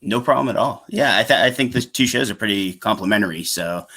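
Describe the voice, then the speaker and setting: A young man with a low, deep-set voice talks casually as a podcast guest. His delivery is conversational and informal, like one gamer chatting with another. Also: brisk, pushed and bright, husky.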